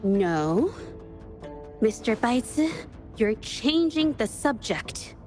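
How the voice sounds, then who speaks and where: A woman's voice: a little high, sing-song, drawn-out words, darkish tone, soft background music, exaggerated voice. A woman playing an earnest, righteous young woman in a video game, speaking with sincere and determined conviction. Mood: firm, earnest. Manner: impassioned, sincere, hesitant but determined.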